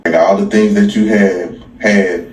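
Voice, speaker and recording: An American man with a fairly low voice gives a mocking, sarcastic monologue. He repeats phrases to drive them home. The room is echoey and he sits a bit away from the mic. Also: steady tone, a little slow, distant mic, a little hiss or hum. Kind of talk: monologue to camera.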